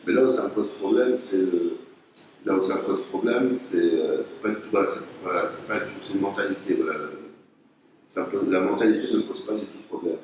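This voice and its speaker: Low-voiced man, frank and halting. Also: darkish tone. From Tunisia. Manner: halting, many restarts, blunt and frank. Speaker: artist being interviewed. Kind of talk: casual conversation. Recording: echoey, distant mic, noisy background.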